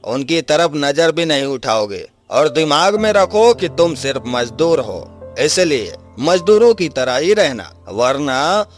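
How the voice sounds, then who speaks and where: A man's voice: on the high side, very animated, slow pace, soft background music. A man playing a cruel, arrogant rich merchant in a cartoon moral story, barking his words with contempt and threat. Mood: stern, threatening.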